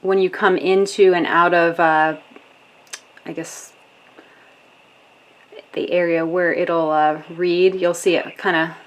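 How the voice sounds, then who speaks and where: An American woman with a fairly low voice talks through a product demonstration. She explains features step by step, informally and with some hesitation, like a casual reviewer. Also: level tone, slow pace, pauses often, dull audio.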